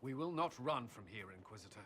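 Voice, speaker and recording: A man's voice: medium pitch, animated, quick pace, sharp tone, a little hiss or hum. A man playing an earnest, vigilant military commander in a game, speaking in a firm, clipped way. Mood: grave, determined. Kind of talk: dramatic game cutscene acting.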